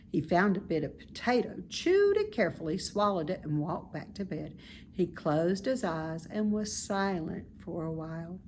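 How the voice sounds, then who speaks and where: A woman reading a children's story aloud in expressive narration. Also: on the low side, slight background noise. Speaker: storybook reader.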